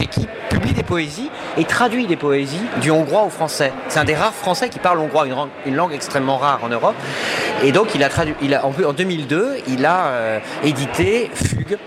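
A man's voice: on the high side, noisy background. A man speaking in a radio interview about culture, hesitant, with many fillers and restarts. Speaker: cultural attaché at an embassy.

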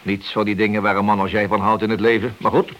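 Man acting a wily senior detective, low voice, falsely friendly, needling, hissy old radio play. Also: little pitch movement, fast, a bit bright, close to an everyday voice. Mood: quietly menacing. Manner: slyly affable, leisurely, needling. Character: wily senior police detective, feigning friendliness while cornering suspects.

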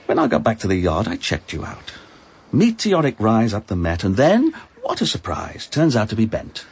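An actor playing a sarcastic, needling police inspector in a radio drama. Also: male voice, fairly low pitch, wildly swooping pitch, moderate pace, husky, heavily acted.

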